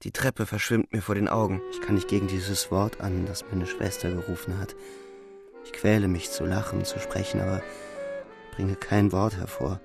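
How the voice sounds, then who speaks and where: A weary young soldier tells of home leave in a low, gravelly voice. He is brooding and restrained, speaking in halting phrases like an inner monologue, with music behind him in a radio drama. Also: level tone. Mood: subdued, overwhelmed. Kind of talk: literary radio play narration, inner monologue.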